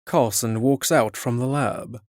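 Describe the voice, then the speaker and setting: A man's voice: mid-range voice, fairly even intonation, a little slow, dry recording, studio quality. A man reading scripted narration for a fantasy drama.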